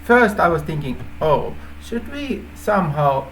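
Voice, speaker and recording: A native Finnish man speaking English with a Finnish accent, informally explaining an idea in halting phrases with repeated words. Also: on the high side, very animated, gravelly, a bit bright, a little hiss or hum, rough recording. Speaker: app developer presenting a feature idea.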